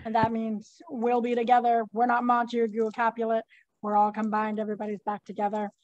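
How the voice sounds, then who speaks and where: A woman teacher leading a class read-through of a play, prompting readers with animated, informal asides and reading stage directions. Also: fairly high pitch, brisk, dry recording, highs cut off.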